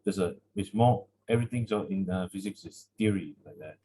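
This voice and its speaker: A teenage male student with a Malaysian accent speaking informally and haltingly on an online class call. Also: low voice, mellow, a little hiss or hum. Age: teens. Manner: hesitant, halting. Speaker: secondary school student. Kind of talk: informal self-introduction in class.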